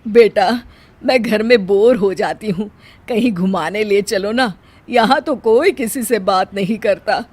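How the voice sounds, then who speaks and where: A woman's voice: medium pitch, measured pace, a little mellow, lightly acted. A woman voicing a plaintive, feisty village mother-in-law in a family story, complaining expressively.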